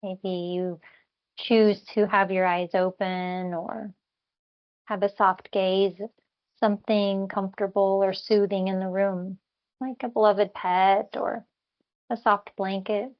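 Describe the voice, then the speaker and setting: A woman meditation teacher speaks very slowly, leaving long pauses between short phrases. Her instructions are gentle and unhurried, as in a guided body scan. The recording is slightly dull and lo-fi, with her voice at a medium pitch. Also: flat delivery.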